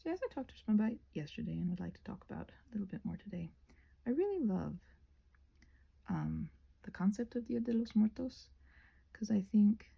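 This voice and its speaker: A woman chatting casually in a rambling ASMR style, breathy and often slipping toward a whisper, with playful, self-mocking asides. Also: medium pitch, sing-song, moderate pace, pauses often, lo-fi sound. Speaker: ASMR creator painting a craft while rambling.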